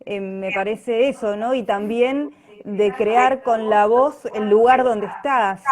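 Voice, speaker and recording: A woman's voice: fairly high pitch, takes it slowly, darkish tone. An Argentine woman, an actress being interviewed, talking casually and tentatively, often checking for agreement with little tag questions. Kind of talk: casual live conversation.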